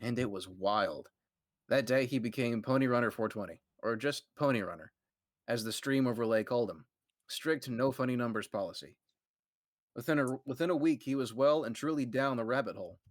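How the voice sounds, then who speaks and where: A man's voice: medium pitch, brisk, no echo, studio quality. A man reading a fan fiction aloud on a stream with friends in a conversational way, breaking for amused asides.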